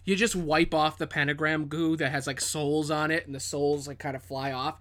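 A man with a slightly high voice narrates a tabletop fantasy game as game master. His delivery is casual and improvised, full of vivid description and informal swearing. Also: level tone, normal speed, fairly bright tone.

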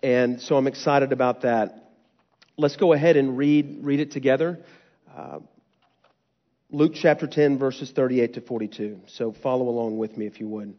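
Nasal-voiced young pastor in a reverberant hall. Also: male voice, mid-range voice, moderate pace. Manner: earnest, conversational preaching. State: nasal from a cold. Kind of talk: sermon. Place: church hall. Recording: dull sound with long hall reverb.

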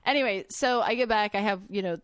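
A woman's voice: mid-range voice, fast, no room sound, studio quality. A woman with a light Californian accent, a podcast guest telling her story in a chatty, casual way. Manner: chatty storytelling, laughs often. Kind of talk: casual conversation.

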